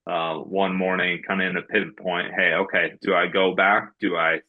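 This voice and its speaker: Deep-voiced raised man, muffled audio. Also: flat delivery, moderate pace. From Norway. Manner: many fillers and restarts, earnest storytelling. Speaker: guest. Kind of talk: podcast interview.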